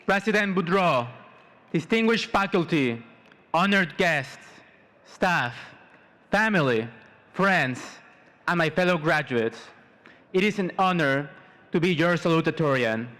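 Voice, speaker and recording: A young man in his twenties from Mexico, giving a formal prepared commencement speech as a student, in measured short phrases. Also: on the high side, lively intonation, a bit bright. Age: 20s. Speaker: student salutatorian.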